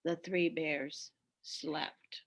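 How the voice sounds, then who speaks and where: A woman reading a children's story aloud with expressive, deliberate, dramatic narration. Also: deep voice.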